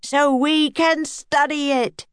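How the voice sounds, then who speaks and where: A woman's voice: high voice, fairly even intonation, slow pace, no room sound, pitched above the performer's own voice. A woman voicing an authoritative male professor in a children's storybook, calling out eager orders. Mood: commanding, eager.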